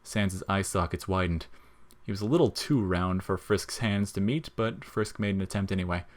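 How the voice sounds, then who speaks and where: A man's voice: low-pitched, normal speed. A man reading scripted narration as the narrator of an audio story.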